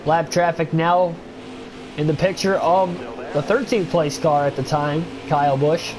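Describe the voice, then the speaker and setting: A young man with a stuffy nose talks loosely to his livestream viewers, filling pauses with "uh" and "like" as he goes. His voice sits a little high for a man. A steady hum of noise runs under the home recording. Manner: rambling, thinking aloud with many fillers. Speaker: gaming livestreamer. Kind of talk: casual livestream chat and race commentary.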